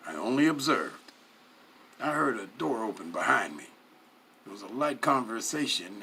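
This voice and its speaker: A man giving a dramatic first-person narration, reading in a theatrical, deliberate way with pauses. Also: medium pitch, a bit bright, a little hiss or hum. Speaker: story reader.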